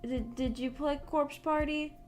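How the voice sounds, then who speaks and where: Female streamer, high voice, giggly and rambling, casual chat over background music. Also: steady tone, unhurried, darkish tone. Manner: giggly, rambling, peppers talk with "like". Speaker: livestreamer, visual novel book club host. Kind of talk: casual livestream chat with viewers.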